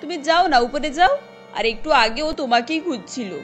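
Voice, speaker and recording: A woman's voice: high-pitched, animated, moderate pace, faint music behind. A woman voicing a busy middle-aged cartoon mother of the bride, affectionate as she instructs. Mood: grateful, affectionate. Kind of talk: cartoon voice acting.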